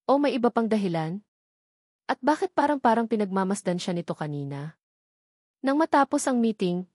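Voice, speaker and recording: A woman's voice: medium pitch, a little mellow, no room sound, clean studio sound. A woman with a Filipino accent reading scripted narration of a romance story.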